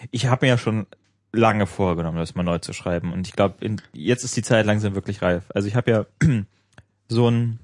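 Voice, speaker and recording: A man talks at length on a casual podcast, explaining and filling pauses with ums. The sound is dry and close. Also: on the low side, darkish tone, no echo. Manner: chatty, explaining with many fillers. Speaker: podcast co-host. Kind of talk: casual tech chat.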